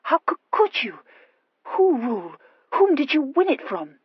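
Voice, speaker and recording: A woman's voice: high-pitched, big pitch swings, a little slow, dry recording, lo-fi sound, pitched above the performer's own voice. A woman voicing an impulsive, anxious young aristocratic wife who stammers and gushes earnestly in an audiobook story. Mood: astonished. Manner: hesitant, gushing confession with emphatic outbursts.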